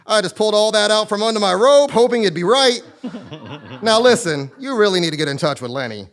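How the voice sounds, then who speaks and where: A man playing an eccentric, melodramatic fortune-telling sage in a comic play on stage, with drawn-out pondering and sudden cries. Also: high voice, lively intonation, a bit bright. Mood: confiding. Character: eccentric, theatrical self-styled male sage and fortune teller. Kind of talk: comic stage acting before a live audience. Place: theatre stage, live performance.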